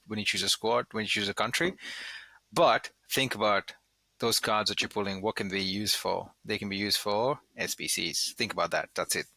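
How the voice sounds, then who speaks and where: A man's voice: lowish voice, pushed and bright, no echo, poor audio. A man co-hosting a casual gaming podcast, handing out tips in an emphatic, instructive way. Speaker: podcast co-host giving game tips. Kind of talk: casual gaming advice chat.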